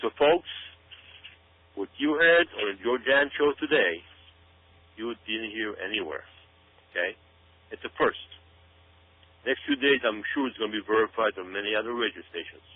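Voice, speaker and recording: A man's voice: on the low side, lively intonation, normal speed, long pauses. A man giving dramatic commentary as a radio show guest, speaking emphatically, repeating himself and asking rhetorical questions. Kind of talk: dramatic speculative commentary to listeners. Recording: muffled narrow-band radio sound.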